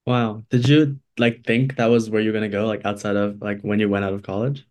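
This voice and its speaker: A man's voice: fairly low pitch, flat delivery, brisk, a little mellow. A young man, a university student hosting a podcast, reading an intro and then asking eager, hedging questions full of "like". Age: young adult.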